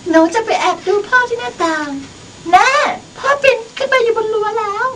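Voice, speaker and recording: Young woman as a high-voiced innocent little girl. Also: animated, a little fast, recorded from a distance, noisy background. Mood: alarmed. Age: young adult. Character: innocent, anxious little daughter. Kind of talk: student radio drama acting.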